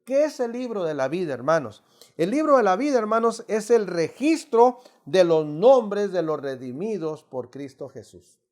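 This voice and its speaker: A man's voice: high voice, sing-song, moderate pace, a little mellow. A middle-aged man from Mexico with a Mexican accent, teaching an adult Bible study in an emphatic, preacherly way, constantly addressing the listeners as brothers. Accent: Mexican Spanish.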